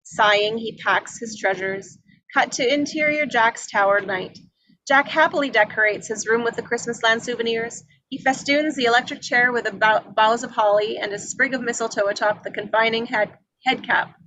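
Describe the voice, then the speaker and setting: A woman's voice: a little high, moderate pace, pushed and bright, no room sound, poor audio. A woman reading out scripted scene descriptions and stage directions as a narrator. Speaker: reader of action descriptions.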